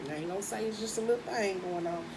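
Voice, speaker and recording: A woman with a husky voice doing a casual, chatty tarot reading, speaking in with laughs and slangy asides. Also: medium pitch, racing, noisy background. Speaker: tarot reader.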